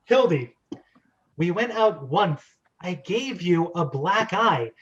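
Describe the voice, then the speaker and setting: A man's voice: high voice, animated, takes it slowly, fairly bright tone, distant mic, exaggerated voice. A man reading the part of a sassy, dismissive young woman in a script read-aloud. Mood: firm, annoyed. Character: sweet but spunky young Brooklyn woman. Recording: dull, lo-fi sound.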